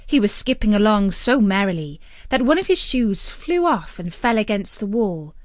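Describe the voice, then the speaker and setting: A woman narrating a fairytale as a storyteller, with an expressive and deliberate storybook delivery. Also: mid-range voice, no echo, clean studio sound.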